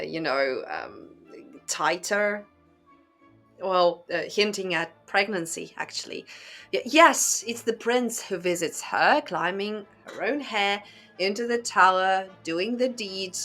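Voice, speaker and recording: A woman's voice: medium pitch, fairly bright tone. A woman giving casual commentary to viewers as a host, talking in a hesitant, wry way with rhetorical asides. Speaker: host of a book and fairy tale commentary channel.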